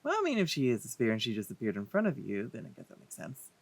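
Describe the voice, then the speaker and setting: A man's voice: medium pitch, wildly swooping pitch, rapid-fire, vocal fry. A man giving casual, chatty and playful commentary as the host of a gameplay video. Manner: playful, chatty reactions to the game. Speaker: Let's Play host.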